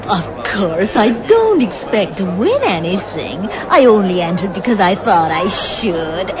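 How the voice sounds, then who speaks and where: A woman's voice: mid-range voice, sing-song, unhurried, crowd noise. A woman playing an ambitious, self-satisfied, nagging housewife in a radio drama, exclamatory and theatrical. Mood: excited. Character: ambitious, chattering, self-satisfied housewife, critical of her husband. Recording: muffled, noisy radio drama recording.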